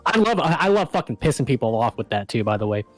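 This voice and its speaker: A man's voice: mid-range voice, big pitch swings, fast talker, no room sound. Casual streaming man, close-miked over music. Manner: informal, rambling, frequent swearing. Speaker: co-host of a live stream chat show. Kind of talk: casual chat. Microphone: close desktop microphone over voice chat.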